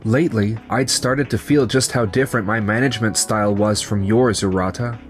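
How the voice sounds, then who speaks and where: A man's voice: fairly low pitch, fairly even intonation, brisk, natural voice. A man voicing young company men in a manga dub, with narration, over background music. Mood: calm, resigned. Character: betrayed young company founder, calm and resigned, and his coworkers. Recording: music under the voice.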